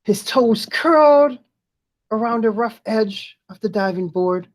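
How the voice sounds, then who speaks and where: A woman reading a children's storybook aloud expressively and deliberately, pausing to chat to viewers. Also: a little high, lively intonation.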